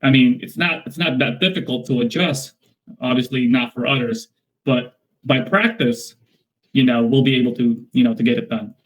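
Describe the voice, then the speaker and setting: Filipino man, measured and repetitive. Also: medium pitch, fairly even intonation, fairly bright tone, low-quality recording. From Philippines. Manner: measured, repetitive, leans on "basically" and restarts. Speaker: interviewee. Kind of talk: casual interview answers.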